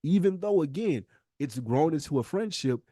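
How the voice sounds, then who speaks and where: A man's voice: fairly high pitch, lively intonation, normal speed, warm tone, no echo, studio quality. An American man co-hosting a podcast in a candid heart-to-heart, speaking earnestly and emphatically and repeating himself to make his point. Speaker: podcast co-host.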